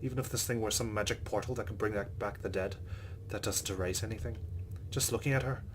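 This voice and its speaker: A man's voice: fairly low pitch, fast talker, a little hiss or hum. A man hosting a let's play, reading visual novel narration aloud with his own reactions, over game ambience.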